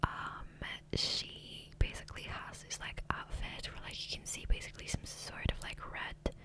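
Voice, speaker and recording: A woman whispering softly and breathily into a close microphone in a rambling, hesitant ASMR gameplay commentary, often trailing off. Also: normal speed. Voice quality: soft, breathy unvoiced whisper. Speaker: ASMR gaming creator. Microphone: close USB condenser microphone.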